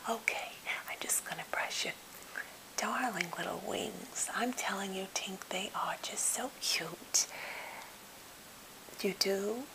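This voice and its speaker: Woman acting a slow, whispering, gently odd captive lady. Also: mid-range voice, poor audio. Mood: soothing, fussy. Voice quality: breathy whisper with fry. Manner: halting, gentle, polite, fussily coaxing. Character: timid, slightly odd woman held captive, eager to please. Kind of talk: ASMR role play.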